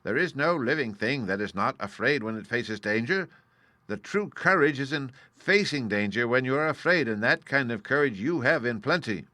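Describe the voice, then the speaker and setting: Guy doing a meek old fake wizard, storybook voice, bright tone, super dry sound. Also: mid-range voice, animated, moderate pace, dry recording. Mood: confessing. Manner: gentle, confiding, a little sheepish. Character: humble old male humbug wizard, apologetic.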